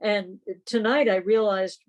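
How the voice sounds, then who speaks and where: A woman hosts an online meeting in a mid-pitched voice. She speaks informally and chattily over a video call, at a leisurely overall pace. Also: fairly even intonation, measured pace. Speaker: meeting host.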